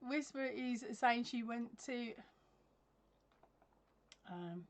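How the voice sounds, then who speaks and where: Chatty woman, fairly high voice. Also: drawn-out words. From England. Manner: chatty, laughs often, many ums and restarts. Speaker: crochet livestream host. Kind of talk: casual live-stream chat reading comments. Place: craft room at home with yarn shelves. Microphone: webcam at desk.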